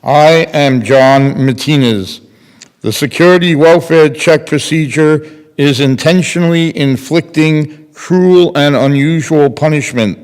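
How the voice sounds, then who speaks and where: A man's voice: fairly high pitch, unhurried, a little mellow, smooth. An older man in his sixties giving a scripted reading of testimony at a lectern. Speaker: readers theater performer. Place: temple sanctuary at a lectern. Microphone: boom mic on a stand at lectern. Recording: echoey hall with long reverb.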